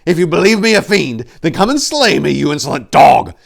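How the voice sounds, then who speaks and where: A man's voice: a little high, very animated, a little fast, vocal fry, voice pushed up, full character voice. A man voicing a proud, fiery elder warrior monk with formal, indignant speech in a fantasy story reading. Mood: outraged, defiant.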